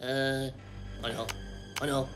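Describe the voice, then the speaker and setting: An actor voicing an excitable, dramatic ten-year-old schoolboy in a French cartoon, whiny and full of exclamations. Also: medium pitch, slow, over music, natural voice. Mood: dramatic lament.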